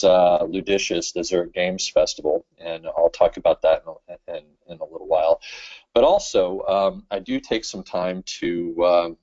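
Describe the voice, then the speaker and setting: Low-voiced man, halting webinar talk. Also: darkish tone. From San Francisco, California. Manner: halting, many fillers and restarts. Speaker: webinar speaker, games executive. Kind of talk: casual presentation with slides. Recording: dull lo-fi webinar audio.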